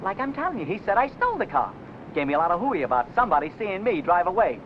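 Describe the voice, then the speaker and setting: A man's voice: high voice, big pitch swings, quick pace. An actor playing an indignant, chatty American wise guy in a 1950 film noir, griping in period slang. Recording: noisy, dull old film soundtrack.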